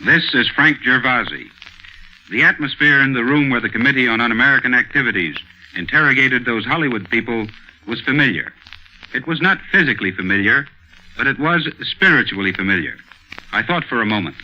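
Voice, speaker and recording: A man's voice: mid-range voice, expressive, a little fast, sharp tone. A man delivering scripted commentary in a 1940s radio style. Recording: muffled 1940s radio recording.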